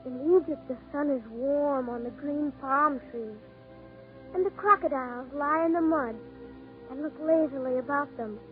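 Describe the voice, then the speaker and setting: An actress playing a small, devoted swallow with gentle, poetic phrasing in a 1940s radio drama. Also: female voice, sits high, normal speed, narrow, tinny audio, natural voice. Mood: tender, devoted. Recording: 1940s radio broadcast with music.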